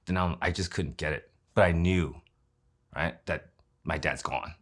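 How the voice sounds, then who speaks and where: A man's voice: deep voice, a little slow. A man, telling his own story in an interview in a conversational way, often using fillers and tag questions. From Kansas City, Missouri, USA.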